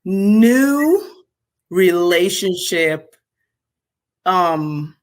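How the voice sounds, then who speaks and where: A woman's voice: on the low side, lively intonation, very slow. A woman from Guyana with a Guyanese accent, chatting casually and reflectively as an interview guest.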